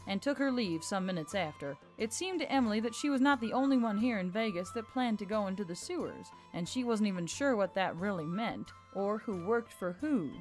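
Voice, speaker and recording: A woman's voice: mid-range voice, expressive, a little fast, hardly any pauses. Woman narrating fantasy over music. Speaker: audiobook narrator. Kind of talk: scripted fantasy story narration. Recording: music bed under the voice.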